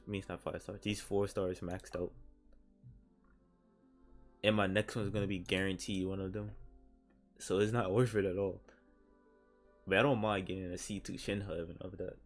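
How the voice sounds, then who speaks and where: A man who streams games, rambling casually and thinking aloud as he comments on his play. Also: low-pitched. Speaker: game streamer. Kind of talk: casual livestream game commentary. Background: game music.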